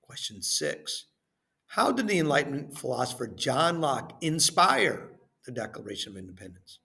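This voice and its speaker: A man reading a lesson and worksheet questions aloud as a teacher, in a deliberate, instructional way, stressing phrase openings and trailing off at the ends. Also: mid-range voice, sing-song, creaky, bright, forceful tone.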